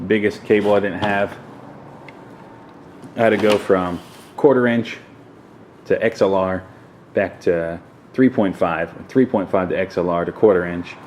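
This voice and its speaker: A man's voice: low voice, measured pace, long pauses, a little mellow. A man giving a casual, unscripted walkthrough in an offhand way, speaking in short clipped fragments. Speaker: vlogger showing a home podcast setup. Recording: clearly noisy room recording.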